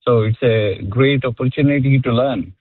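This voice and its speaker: A man, a Hindi speaker, calls in to a radio show. He speaks hesitantly, with lots of pauses and fillers. He comes through a tinny, dry phone line. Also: medium pitch, dry recording. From India. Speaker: caller.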